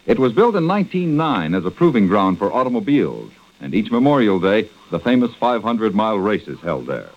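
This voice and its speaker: Male American announcer, 1940s radio style: formal, lively intonation, dull vintage recording. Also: on the low side, fairly quick, few pauses. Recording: muffled old radio recording.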